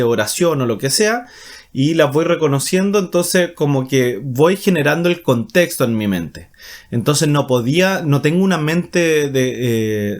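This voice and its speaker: A man with a Chilean Spanish accent talking casually and rambling, with many fillers and self-corrections as he explains his path as a writer. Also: medium pitch. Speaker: writer and channel host. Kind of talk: casual monologue.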